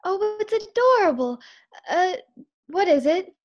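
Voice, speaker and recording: A woman's voice: extremely high pitch, big pitch swings, measured pace, muffled recording, heavily acted. A woman acting a naive, wry, loyal housemaid in a 1940s-style radio sitcom.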